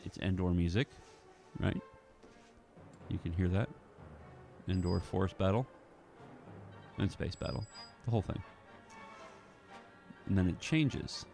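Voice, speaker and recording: A man with a lowish voice and plenty of vocal fry talks casually through his editing work. He thinks aloud in fragments as he goes. Film score music plays faintly behind him. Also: slow pace, pauses often, warm tone. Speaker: audio drama creator.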